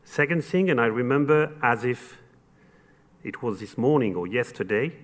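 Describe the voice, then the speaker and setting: A man from France with a French accent giving earnest, formal remarks as an event sponsor, in short measured phrases. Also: medium pitch, animated. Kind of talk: formal closing remarks at a luncheon speech.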